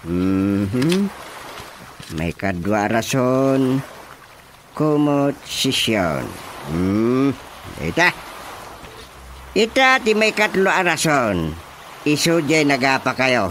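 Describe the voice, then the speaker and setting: A man acting a stern, mocking village chairman in an Ilocano radio drama comedy, interrogating deliberately with an Ilocano accent. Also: mid-range voice, very animated, a bit bright, slight background noise, exaggerated voice. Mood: dry, mocking. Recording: music behind the voice.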